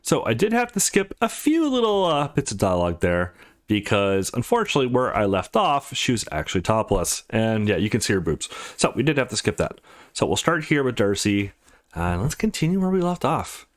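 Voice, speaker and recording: A man's voice: medium pitch, sing-song, brisk. A man hosting a let's play, casually explaining and reading aloud as they go.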